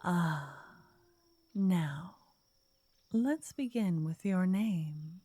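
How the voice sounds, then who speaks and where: A woman playing a gentle, flirty fortune teller in an ASMR roleplay, speaking softly in a breathy half-whisper, coaxing and soothing the listener up close. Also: fairly low pitch, expressive, drawn-out words, no echo, full character voice. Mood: warm, intrigued. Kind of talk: ASMR roleplay, intimate scripted address to listener. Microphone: close, dry microphone.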